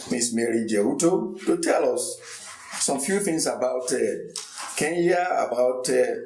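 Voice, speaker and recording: A man's voice: medium pitch, darkish tone, a little hiss or hum. Man introducing a panel, echoey hall. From Nigeria. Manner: measured, with frequent hesitations. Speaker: conference moderator introducing panelists. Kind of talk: formal introductions. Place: university conference hall.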